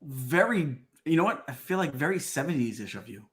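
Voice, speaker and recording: A man's voice: on the high side, big pitch swings, a little fast, a bit bright. A man co-hosting a casual podcast, joking and rambling as he restarts his sentences, just getting over a cold. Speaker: podcast co-host.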